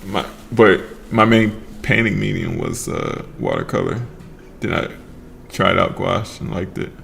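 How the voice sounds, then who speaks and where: A man casually chatting on a livestream while he paints, relaxed and slurring his words together, trailing off mid-sentence. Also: sits low, measured pace, creaky. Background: faint lo-fi background music.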